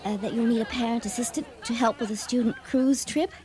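A woman's voice: fairly high pitch, brisk, crowd noise, lightly acted. An actress playing a love-struck teenage schoolgirl, flustered and affectedly formal, in a radio drama. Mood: nervous, earnest.

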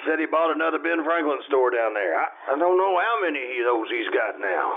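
A man playing a fretful, overworked small-town store owner in a 1950s radio play, grumbling and halting as he talks. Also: a little high, lively intonation. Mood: preoccupied, weary. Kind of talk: old-time radio play acting. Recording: muffled, noisy amateur stage radio recording.

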